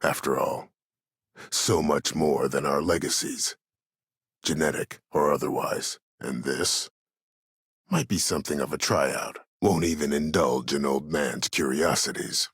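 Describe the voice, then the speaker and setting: An older man acting a video game role: a cunning, proud old disgraced admiral who speaks in a measured, formal, husky voice with quiet menace. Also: dry recording, clean studio sound, full character voice. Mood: courteous, sly. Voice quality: husky, very deep. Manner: measured, formal, self-assured. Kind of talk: video game character dialogue.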